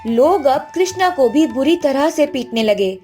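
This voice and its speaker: A woman's voice: high voice, animated, a little slow, warm tone. A woman narrating a scripted cartoon story as the storyteller. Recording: faint background music.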